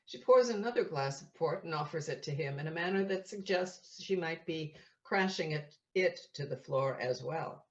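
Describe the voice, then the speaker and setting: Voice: mid-range voice, lively intonation, normal speed, hardly any pauses, dull audio. A narrator reading out scripted stage directions for an audio play in a plain, descriptive way.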